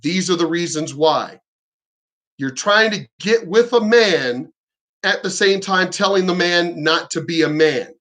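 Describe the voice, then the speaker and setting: A man with a high, bright voice rants in a combative, mocking way, swearing freely. He sounds pointed and sharp as he tears into what he is reacting to. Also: lively intonation, measured pace. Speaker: reaction commentator. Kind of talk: ranting reaction commentary.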